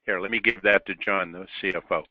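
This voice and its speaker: A male company executive answering investor questions on a telephone call, measured and pausing between short phrases. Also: low voice, fairly even intonation, dry recording. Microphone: telephone on a conference call. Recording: narrow dry telephone line.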